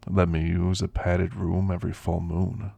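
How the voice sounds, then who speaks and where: Man acting a deep, gravelly old werewolf. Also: monotone, dark tone, no room sound, lo-fi sound, full character voice. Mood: wistful, reflective. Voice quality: gravelly, husky. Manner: slow, understated, reminiscing storyteller. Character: elderly male werewolf, weathered, wry, reflective, scrappy. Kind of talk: ASMR roleplay monologue. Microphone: close, dry microphone.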